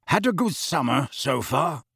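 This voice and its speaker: A grim, cooped-up man in an audiobook, as a male narrator plays him. He sounds bitter and sarcastic, with a husky voice and animated swings of pitch. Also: a little slow, dry recording, clean studio sound, exaggerated voice. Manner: sarcastic, colloquial.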